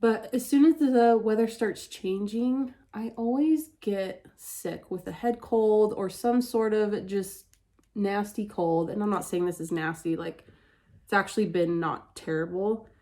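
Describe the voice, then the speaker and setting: A woman's voice: mid-range voice, steady tone, a little slow, mellow. Stuffy-nosed woman chatting casually. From Utah, USA. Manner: chatty and candid, many "like" fillers. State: congested, stuffy-nosed from a head cold. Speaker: beauty vlogger. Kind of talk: casual get-ready-with-me chat.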